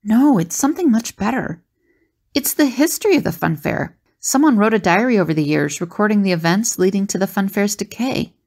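A woman's voice: medium pitch, lively intonation, fairly quick, darkish tone. A woman playing a confident, resourceful female adventurer in an audio drama. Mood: eager.

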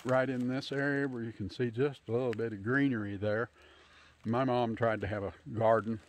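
A man in his fifties giving a casual, unscripted tour outdoors on a handheld phone, pausing often as he talks. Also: medium pitch. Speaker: homesteader hosting a channel. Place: outdoors in bare winter woods. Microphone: handheld phone at arm's length.

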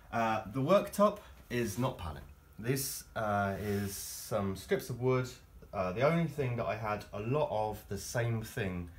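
A man giving a chatty, wry, informal guided tour in a hesitant way. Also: lowish voice. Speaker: boat owner and builder giving a tour. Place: large cluttered covered deck space inside a boat. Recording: echoey boat interior with background noise.

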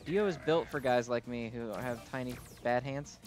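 A man's voice: mid-range voice, normal speed, a little mellow. A man hosting a gaming video with casual, slangy and self-deprecating gameplay commentary. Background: music.

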